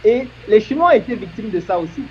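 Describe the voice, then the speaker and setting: A man's voice: high voice, expressive, fairly quick, dull audio, slight background noise. A man making an earnest, emphatic argument in a discussion, building his points with examples.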